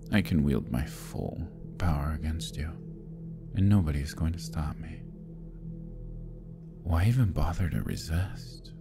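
A gravelly, whispering Demon King. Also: rumbling low, full character voice. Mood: menacing. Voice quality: gravelly, husky, often whispered. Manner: taunting, smug, menacing. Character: scheming, arrogant male Demon King villain. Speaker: voice actor. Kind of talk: ASMR roleplay.

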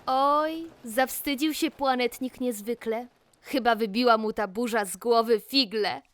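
A woman narrating a rhymed children's fairy tale in an expressive, deliberate storybook manner. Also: a little high, no room sound. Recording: music behind the voice. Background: loud music.

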